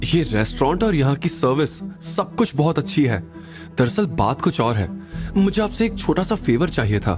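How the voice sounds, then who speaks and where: A young woman from an audio drama, voiced by a man in a lifted pitch. She is polite and guarded, with a firm edge and an expressive delivery over music. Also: moderate pace. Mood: polite, discreet. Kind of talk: audio drama character dialogue.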